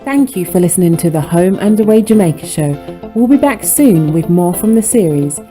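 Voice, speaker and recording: A woman's voice: on the low side, lively intonation, normal speed, mellow, faint music behind. Woman radio host, conversational interviewer. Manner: listens with short interjections and probing questions.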